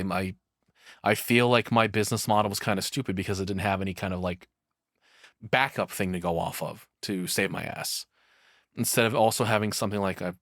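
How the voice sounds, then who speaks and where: A man with a fairly deep voice talks through his thoughts as a casual reaction streamer. He rambles conversationally and swears now and then. The sound is dry, with very little room in it. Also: fairly bright tone, no echo.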